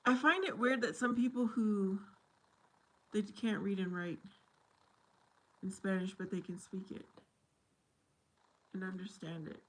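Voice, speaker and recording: A woman's voice: mid-range voice, unhurried, pauses often, fairly bright tone, poor audio. A woman chatting casually with viewers on a livestream, slipping Spanish phrases in between English. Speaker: livestream host.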